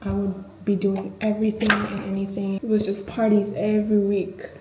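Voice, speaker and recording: A woman's voice: mid-range voice, completely flat delivery, moderate pace, roomy sound, background noise. A woman in her twenties talking in a reflective, confiding, chatty way in a personal diary vlog. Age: 20s. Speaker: vlogger.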